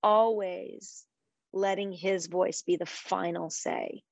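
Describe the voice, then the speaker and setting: A woman's voice: mid-range voice, fairly even intonation, slow pace, gravelly, no echo. A middle-aged woman guest in a faith-based interview, speaking with passion in a preacher-like way and quoting scripture.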